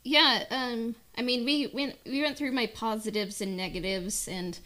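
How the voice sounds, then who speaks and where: A woman co-hosting a podcast in casual chat, halting and peppering her talk with "like". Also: on the high side, fairly even intonation, sharp tone. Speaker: podcast co-host.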